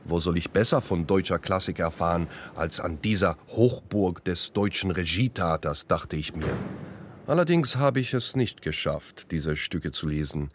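A man narrating a scripted first-person radio documentary, with deliberate, pointed phrasing. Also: low-pitched. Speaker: narrator and author of a radio play.

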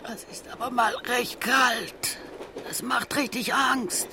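Old lady passenger, high voice, unhurried, genteel, radio drama, noisy carriage. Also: steady tone. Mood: uneasy, insistent. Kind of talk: German radio play acting.

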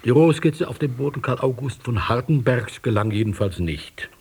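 An actor playing a sly confidant in a radio drama, husky and breathy, questioning with dry irony. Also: male voice, mid-range voice, lively intonation, moderate pace, a little mellow. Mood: dry, ironic. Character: sly, probing confidant who needles the prince.

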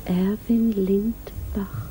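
An actress playing a wistful, guarded young widow and aunt in a 1950s radio drama, with a theatrical, inward delivery. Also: female voice, medium pitch, steady tone, extremely slow, warm tone, slight background noise, low-quality recording. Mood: wistful, musing.

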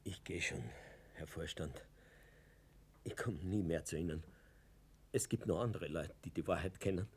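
An actor playing a stubborn, indignant former political prisoner in a German radio play, husky and emphatic. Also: male voice, low-pitched, moderate pace, long pauses, a little hiss or hum. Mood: defiant, agitated. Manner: impassioned, emphatic.

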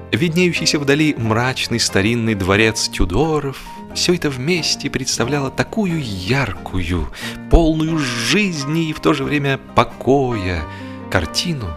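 A man reading a humorous novel as a scripted audiobook, telling it in an expressive, literary way. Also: mid-range voice. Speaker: audiobook narrator.

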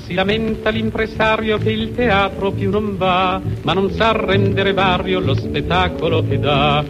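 A man singing a vintage comic song with a slow, playful delivery. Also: sits high, fairly even intonation. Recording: dull old song recording, loud music.

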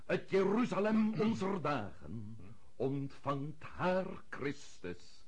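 A husky male voice as a pompous prince in a radio drama. He declaims slowly, with extremely wide swings of pitch, and blusters with courtly self-importance. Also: very animated, heavily acted. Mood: solemn, declamatory. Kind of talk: radio play acting.

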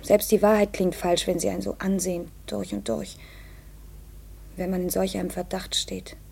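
An actress playing an anguished young woman under suspicion in a 1950s German radio play, with a soft, dark, husky voice. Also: mid-range voice, flat delivery, moderate pace, slight background noise. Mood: despairing. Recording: 1950s radio drama recording.

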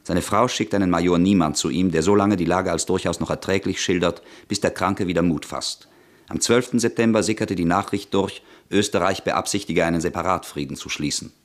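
A man narrating a historical radio play in an even, documentary tone. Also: deep voice, a little fast.

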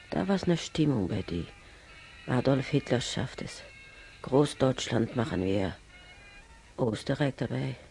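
An actor playing an ailing older man in hospital, musing haltingly and bitterly in a naturalistic radio drama. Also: medium pitch, creaky, a little mellow. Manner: halting, musing, repeats himself. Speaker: hospital patient.

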